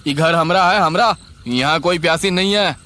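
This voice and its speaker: A man voicing an agitated, indignant village man, loud and scolding, in a horror cartoon. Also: fairly high pitch, normal speed.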